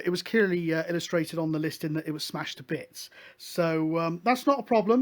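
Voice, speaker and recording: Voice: medium pitch, darkish tone. A host explaining things casually, in a hesitant, rambling, matter-of-fact way. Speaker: host of a hobby CB radio show.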